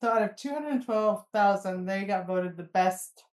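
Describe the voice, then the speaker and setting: A woman's voice: medium pitch, a little slow, darkish tone. A woman hosting a podcast interview in a casual, chatty conversational style. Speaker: podcast host. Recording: echoey room.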